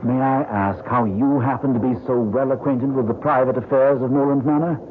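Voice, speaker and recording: A man playing a proper, steady solicitor in an old-time radio mystery, speaking formally and with measured firmness. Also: mid-range voice, close to an everyday voice. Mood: formal, composed. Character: proper, steady English solicitor, male, dutiful and firm. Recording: noisy narrowband old radio broadcast.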